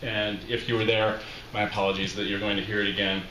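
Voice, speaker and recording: Middle-aged American male, wry live storyteller, forceful bright tone, roomy venue with faint noise. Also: on the low side, flat delivery, fast talker. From Utah, USA. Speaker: reading series host, memoirist. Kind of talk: live reading of a personal essay, with spoken introduction. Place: small indoor bar room with brick walls. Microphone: handheld-style microphone on stand, close.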